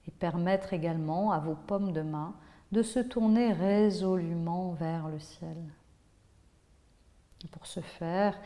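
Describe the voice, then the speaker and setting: A woman teaching yoga nidra, guiding a relaxation in a measured, instructive way with pauses between phrases. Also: lowish voice, dark tone. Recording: long hall-like reverb on voice.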